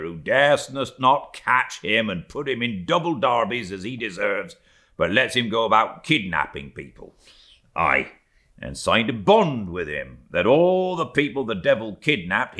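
A brash old-time whaling mate played by a man reading a novel holds forth with boastful swagger. His voice is forceful and leaps about in pitch, each claim hammered home with blustering emphasis. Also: big pitch swings, a little slow, exaggerated voice. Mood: blustering, defiant. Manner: blustering, emphatic, rambling tall talk.

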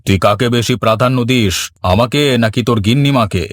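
A man voicing an indulgent, blustering village landlord who is henpecked, in an animated story. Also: medium pitch, brisk, no room sound, close to an everyday voice. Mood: amused, wary. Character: indulgent male village landlord, nervous of his wife. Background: faint background music.

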